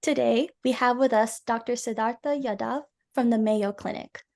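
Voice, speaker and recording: A woman host introducing a guest and asking polite, measured questions. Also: on the high side, creaky, a little mellow. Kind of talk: scripted interview host.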